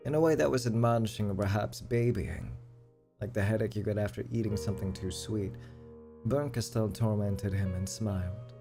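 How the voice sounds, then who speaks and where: A woman's voice: extremely low pitch, normal speed. A woman game streamer reading a visual novel aloud with casual, slangy, sweary commentary. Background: music.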